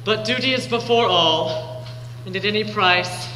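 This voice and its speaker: A man's voice: high-pitched, a little slow, sharp tone, slight background noise. A man playing an earnest, dutiful young pirate apprentice on stage, speaking theatrically and singing. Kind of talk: live operetta stage acting and singing. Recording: echoey hall, live stage with orchestra.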